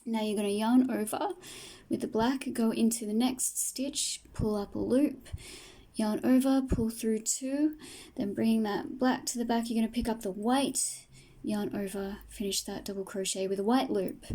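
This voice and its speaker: A woman teaching crochet step by step, patient and soft-spoken, her voice tired and fading from a long day of talking. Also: a little high, steady tone, moderate pace. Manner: soft, patient, talks through each stitch. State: losing her voice. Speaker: crochet tutor. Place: home table, indoors.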